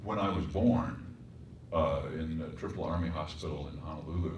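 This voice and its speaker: A man from Colorado with a very deep voice, a voice actor and composer telling wry stories on a stage panel. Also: normal speed, a little mellow. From Colorado, United States. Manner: storytelling, wry, reflective. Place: performing arts center stage. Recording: echoey hall, distant mic, faint hum.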